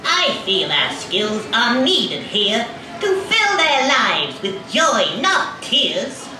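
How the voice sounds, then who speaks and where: An echoey, distant stage recording with music behind a woman acting a caring little pantomime elf. The voice is high, theatrical and slow. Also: wildly swooping pitch, full character voice. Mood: earnest. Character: small, earnest, caring Christmas elf. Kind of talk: children's pantomime puppet show.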